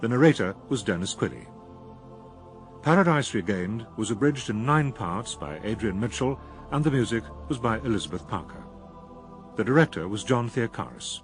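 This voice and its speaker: A man's voice: on the low side, lively intonation, moderate pace, soft background music. A British man reading a scripted, formal broadcast announcement as a radio announcer.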